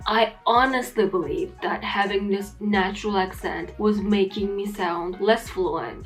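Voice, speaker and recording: A young adult woman from Turkey, Turkish native, speaking English with a blend of Turkish and American accents in an earnest, direct-to-camera talk. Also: medium pitch. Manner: earnest, plain-spoken, emphatic. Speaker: English teacher vlogger. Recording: faint background music.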